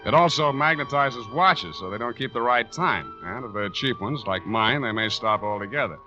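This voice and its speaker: An American actor playing a wry, hard-boiled private detective in a 1940s radio drama, with a glib, teasing manner. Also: male voice, mid-range voice, expressive, normal speed, close to an everyday voice. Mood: brisk, wry. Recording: muffled 1940s radio broadcast, music behind.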